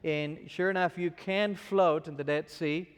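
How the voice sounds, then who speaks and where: A man's voice: on the high side, steady tone, unhurried, clean tone, big-room sound. Man from Argentina telling stories. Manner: chatty storytelling, frequent restarts. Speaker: scholar guest. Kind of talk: anecdotal lecture.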